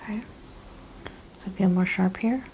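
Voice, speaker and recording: A woman plays a careful chiropractor in an ASMR roleplay, speaking in soft whispers and murmurs. Her voice is mellow, with creaky edges, and she guides the listener gently step by step. A faint noise floor sits behind her. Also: medium pitch, normal speed, rough recording. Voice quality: soft, breathy, often whispered. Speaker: ASMR host playing a chiropractor examining a patient.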